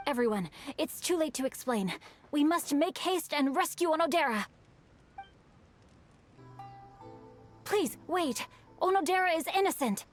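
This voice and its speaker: An earnest, bookish teenage boy in an anime-style game. His voice sits fairly high for the performer. He speaks formally and eloquently, with a flustered politeness. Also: lively intonation, moderate pace, soft background music. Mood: urgent.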